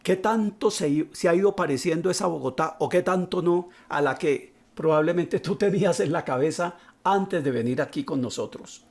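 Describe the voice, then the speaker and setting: Guy hosting a tv chat, higher voice, asks long rambly questions. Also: moderate pace, few pauses, a little mellow. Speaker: TV host. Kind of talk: interview questions.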